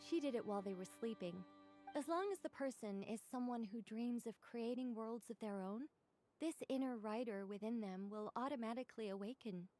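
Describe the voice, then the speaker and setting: A composed, playful woman author , played by an actress, talks in scripted video game dialogue. Her voice sits high, and she speaks articulately with a light teasing wit. Soft music plays underneath. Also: moderate pace, lightly acted. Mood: breezy, reassuring.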